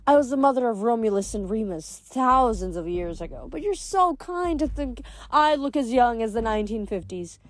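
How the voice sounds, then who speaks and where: Casting: a woman as a breezy, youthful immortal goddess for a storybook, very high voice, fawning and giggly with grand endearments. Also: animated, normal speed, no echo, close to an everyday voice. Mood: gushing, sweet. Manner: gushing, giggly, theatrical endearments. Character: sweet, breezy, fawning ancient goddess, youthful pretty lady.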